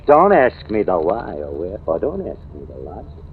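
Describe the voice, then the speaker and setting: A man's voice: low voice, wildly swooping pitch, moderate pace, heavily acted. A man playing a cocky, knowing American newspaperman in old-time radio drama, insistent and slangy in his talk. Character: cocky, hard-drinking newspaperman theorizing about a killer. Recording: tinny, noisy vintage radio recording.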